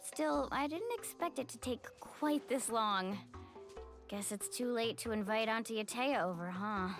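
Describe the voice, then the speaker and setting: A woman's voice: a little high, measured pace, music in the background. A woman playing an upbeat, friendly young shopkeeper in an anime game, with a breezy, casual manner.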